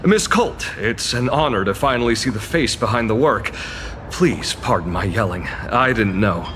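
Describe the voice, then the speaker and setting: A man's voice: lowish voice, animated, moderate pace, background noise, close to an everyday voice. A man voicing a courteous, formal male guide who is easily flustered in a video game. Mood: flustered, apologetic.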